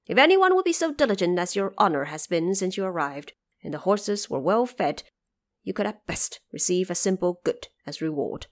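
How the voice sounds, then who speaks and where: Woman as frank, deferential lowly stable official. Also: big pitch swings, normal speed. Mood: frank, cautionary.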